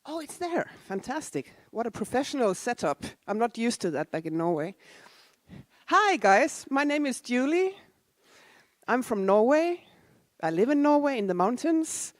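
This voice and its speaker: A woman's voice: fairly high pitch, big pitch swings, moderate pace, no room sound. A woman in her forties, originally from Denmark, giving a casual, self-deprecating conference talk with asides to the audience. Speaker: conference speaker. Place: conference hall.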